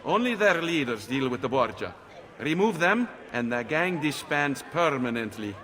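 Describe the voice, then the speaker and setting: An actor playing a shrewd, resolute leader of a thieves' guild in a video game, with an Italian accent. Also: male voice, medium pitch, very animated, moderate pace, a bit bright, in a large hall, some chatter behind. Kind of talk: dramatic video game cutscene acting.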